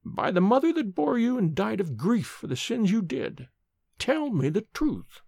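A man voicing an ancient, sharp-tongued old nurse woman, speaking slowly in a high, quavering voice. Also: big pitch swings, vocal fry, no echo, clean studio sound, full character voice. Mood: pleading.

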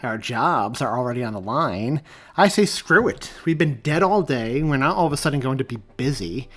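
A man voicing an easygoing, reckless male police partner who shouts in exasperation and then talks his friend round, in an audiobook. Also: mid-range voice, expressive, normal speed, natural voice. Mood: coaxing. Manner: loud, exasperated then coaxing.

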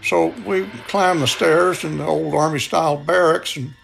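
A man's voice: a little high, steady tone, moderate pace, gravelly, fairly bright tone, soft background music. An older man with a Texan accent telling a personal story in a folksy way, acting out the dialogue as he goes. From Texas, United States. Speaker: storyteller, radio show contributor.

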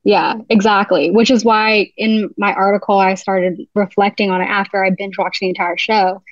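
A woman's voice: mid-range voice, flat delivery, moderate pace, dry recording, muffled recording. A young woman from New Jersey, a writer guesting on a podcast, talking chattily with lots of "like.". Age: young adult. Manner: chatty, heavy use of "like". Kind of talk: casual interview chat.

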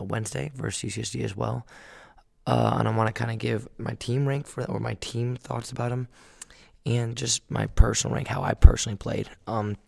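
A young man, a college volleyball player, talking casually in a vlog recap, his voice hoarse and raspy from a road trip. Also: lowish voice, dry recording, studio quality. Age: young adult. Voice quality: raspy, gravelly.

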